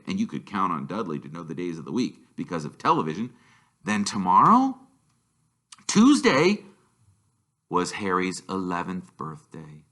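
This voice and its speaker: Male narrator for a children's novel: expressive, emphatic, forceful bright tone, lively swings in pitch. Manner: expressive, emphatic, with drawn-out words. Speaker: teacher reading aloud. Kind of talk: animated storybook narration.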